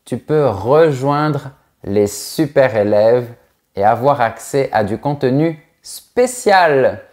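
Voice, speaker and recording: Slow, lively-voiced French teacher man. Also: on the high side. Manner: slow, carefully articulated teaching delivery. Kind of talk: scripted language lesson with acted dialogue.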